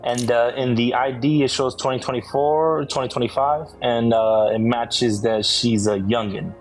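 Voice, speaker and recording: A man of middle pitch questions a guest in a firm, accusing manner. He talks in loose street slang and keeps pushing for answers. Faint music plays behind him. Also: steady tone, moderate pace, poor audio. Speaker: interviewer. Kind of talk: confrontational interview.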